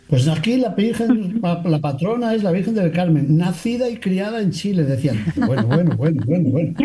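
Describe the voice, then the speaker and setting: Un sacerdote que conduce un programa de radio y cuenta anécdotas con humor y risas ligeras. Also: male voice, fairly high pitch, mellow. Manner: jovial, chuckles at his own anecdotes. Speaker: priest and radio host. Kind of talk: relaxed storytelling on a call-in radio show.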